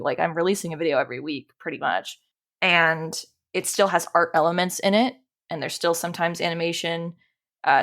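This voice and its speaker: A woman chatting casually as an interview guest, informal and self-deprecating in the way she talks. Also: lowish voice. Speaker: podcast guest, animator and dungeon master.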